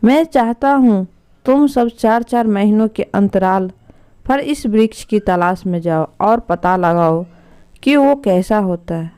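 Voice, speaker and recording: A woman voicing a wise, patient king who instructs his sons with measured authority in a storybook tale. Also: medium pitch, mellow, clean tone, natural voice. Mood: commanding.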